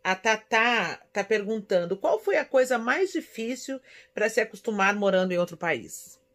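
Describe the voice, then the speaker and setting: A woman in her forties from São Paulo with a Brazilian accent, chatting casually and affectionately as she answers viewers' questions in an expressive, conversational way. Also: mid-range voice, normal speed. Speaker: vlogger. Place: small indoor room at home.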